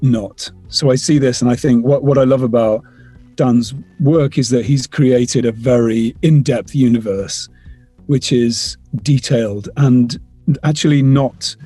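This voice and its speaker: Husky, mellow man, halting delivery. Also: mid-range voice, fairly even intonation, soft background music. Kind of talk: thoughtful casual interview.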